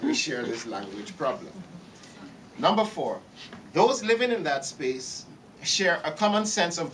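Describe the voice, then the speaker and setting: A man's voice: medium pitch, a bit bright. Brief: middle-aged male keynote speaker, partly read academic lecture, podium mic a bit distant, faint hum in the hall. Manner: gestures broadly, repeats words while reaching for phrasing. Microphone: podium microphone. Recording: slightly distant, faint room ambience.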